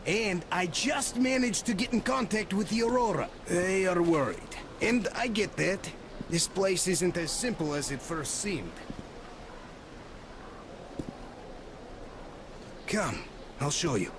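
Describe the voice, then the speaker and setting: An actor playing a rugged, concerned soldier comrade in a video game, with colloquial, urgent, plain-spoken delivery. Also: male voice, high voice, animated, unhurried, background noise. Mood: earnest, calm. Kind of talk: video game cutscene acting. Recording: loud rain and ambience behind.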